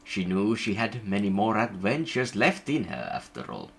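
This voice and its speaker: A man reading an illustrated storybook aloud in an even, gentle narration. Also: on the low side, normal speed, vocal fry.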